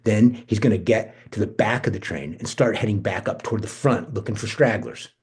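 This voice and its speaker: A man's voice: lowish voice, brisk, darkish tone, husky. A man acting a brash, restless, irreverent young slacker in a casual, naturalistic table read. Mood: conspiratorial. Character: brash, restless, fast-talking slacker cousin, scheming and irreverent.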